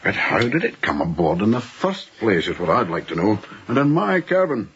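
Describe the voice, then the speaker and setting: An old first mate on a merchant ship, fussy and sure of his opinions. His lowish voice swings widely in pitch as he makes each point. It is a radio play with slightly muffled sound. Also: big pitch swings, moderate pace, crowd noise. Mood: fussy, puzzled. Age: older. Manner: ponderous, emphatic, fussy, swooping delivery. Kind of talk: radio drama acting. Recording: dull, lo-fi radio drama recording.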